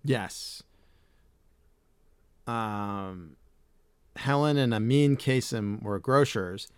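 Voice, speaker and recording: A man's voice: fairly low pitch, very slow, smooth, no echo. A middle-aged man hosting a casual podcast chat, reading facts aloud and swearing casually. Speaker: podcast host.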